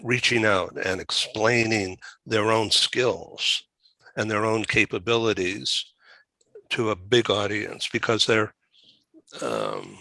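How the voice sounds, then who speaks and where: A man hosting a conversational interview, asking halting, rambling questions. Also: fairly low pitch, lively intonation, creaky, sharp tone, no echo. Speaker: talk show host.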